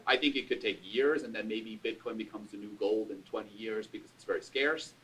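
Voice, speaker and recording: A man's voice: on the low side, a little fast, fairly bright tone, slight background noise. A man on a panel giving his views in a casual way, with lots of "like" fillers. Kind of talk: panel discussion. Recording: distant room mic.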